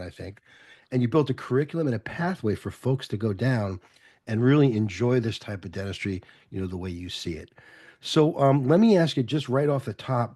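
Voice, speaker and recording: A man's voice: medium pitch, darkish tone, husky. A man hosting an interview podcast, introducing his guest and putting questions in conversational talk. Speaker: podcast host.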